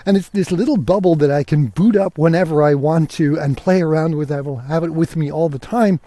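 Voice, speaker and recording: A man with a slightly high, mellow voice hosts a podcast in a casual, chatty way. He talks as if thinking aloud, asking himself questions. The sound is dry and close. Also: fairly quick, dry recording. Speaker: podcast host. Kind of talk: casual, conversational tech podcast monologue.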